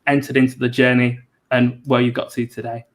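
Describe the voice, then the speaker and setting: A male host asks long, winding questions, dotting them with filler phrases. His pitch sits in the middle and barely moves, giving an even, level delivery. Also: a little fast. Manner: rambling, many "you know" fillers. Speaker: show host. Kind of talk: interview questions.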